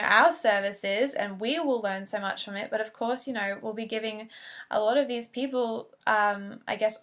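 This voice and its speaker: A young woman in her twenties, a speech pathology student, introducing herself earnestly in a casual video with frequent fillers and restarts. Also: fairly high pitch.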